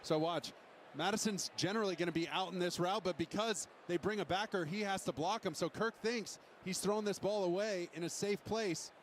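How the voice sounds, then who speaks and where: A man's voice: sits high, moderate pace, a little hiss or hum. A man giving colloquial, explanatory color commentary on a football broadcast. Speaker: color analyst on a game broadcast.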